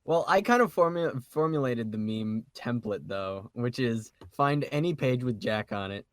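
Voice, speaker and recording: A man's voice: medium pitch, lively intonation, normal speed, dry recording. Man co-hosting casually, dry mid-range voice. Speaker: podcast co-host. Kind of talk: casual group podcast chat.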